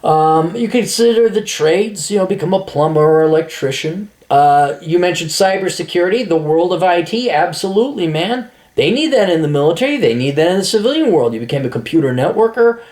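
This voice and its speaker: A man's voice: high voice, expressive, fairly quick, few pauses, a little mellow. Blunt, profane man advising. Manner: blunt, profane, rambling with restarts. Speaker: podcast host giving career advice. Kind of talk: casual consulting monologue.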